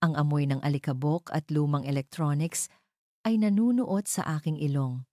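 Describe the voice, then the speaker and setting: A woman with a low, soft-edged voice reads dramatic first-person fiction. She moves through the story in measured, suspenseful phrases, and the recording is close and dry. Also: no echo. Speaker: story narrator.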